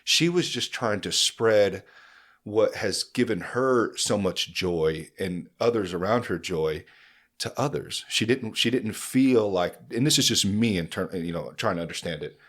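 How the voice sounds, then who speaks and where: A man with a very low voice hosts a casual podcast conversation. He talks earnestly and loosely, circling back with fillers and restarts as he works through his point. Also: unhurried, fairly bright tone. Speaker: podcast host.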